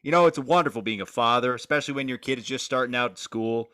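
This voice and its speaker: A man's voice: medium pitch, fast, sharp tone, clear, resonant tone, close to an everyday voice. A man playing a brash, slangy bandleader and doting dad in 1940s radio comedy style. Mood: doting, proud.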